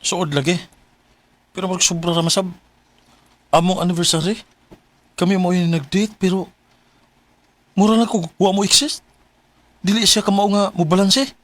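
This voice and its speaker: Lovelorn young cebuano guy in a radio drama, kinda high voice, earnest and plaintive. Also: fairly even intonation, moderate pace, long pauses, fairly bright tone. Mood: hurt, apologetic. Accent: Cebuano Filipino. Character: young man Renan, earnest, apologetic, lovelorn boyfriend.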